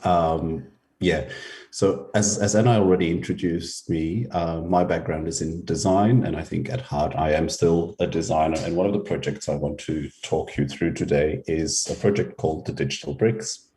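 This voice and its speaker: Very deep-voiced man giving a relaxed talk. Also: moderate pace. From Belgium. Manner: relaxed, hesitant with frequent ums. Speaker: researcher and symposium speaker. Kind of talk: conference presentation over video call. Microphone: video call.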